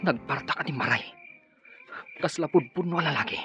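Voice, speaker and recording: An actor with a Filipino accent playing an earnest, grieving son bent on revenge in a radio drama. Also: male voice, a little high, expressive, normal speed, a bit bright, muffled recording, soft background music. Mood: alarmed. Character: vengeful grieving son hunting his father's killers.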